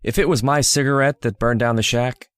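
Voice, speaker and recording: A man's voice: medium pitch, steady tone, brisk, no echo, clean studio sound, lightly acted. A man playing a lonely, jittery city-bred surveyor in a radio drama, talking in a wistful, hesitant way. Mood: resigned, apologetic.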